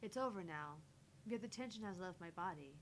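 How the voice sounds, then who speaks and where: A woman streamer reading a visual novel aloud as theatrical first-person narration, with deliberate phrasing. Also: lowish voice, expressive. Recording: loud background noise, electrical hum, clicks.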